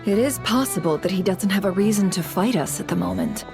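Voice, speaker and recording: Authoritative female commander for a fantasy game, played by a woman: mid-pitched, measured, formal, with clear music behind. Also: animated, natural voice. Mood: grave, analytical. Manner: measured, businesslike. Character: composed, authoritative female boss, formal and matter-of-fact.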